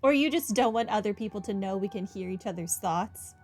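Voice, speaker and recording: A woman's voice: mid-range voice, fairly quick. A woman hosting a gaming playthrough, chatting casually with wry asides and reading prompts aloud. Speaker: gaming video host. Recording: game music behind the voice. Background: video game music.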